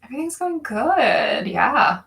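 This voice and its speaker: Bright-voiced, enthusiastic woman. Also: sits high, very animated, unhurried, vocal fry. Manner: gushing, enthusiastic. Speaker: podcast guest. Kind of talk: casual chat.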